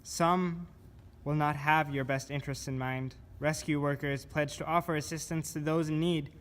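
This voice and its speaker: A man giving a scripted spoken-word reading of poetic survival instructions in a measured, deliberate manner. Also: on the high side, fairly even intonation, a bit bright. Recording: clear background noise.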